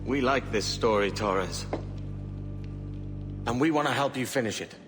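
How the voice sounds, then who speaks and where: An actor playing a brash, roguish young pirate captain in a cinematic video game. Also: male voice, mid-range voice, measured pace, music in the background, close to an everyday voice. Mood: scheming.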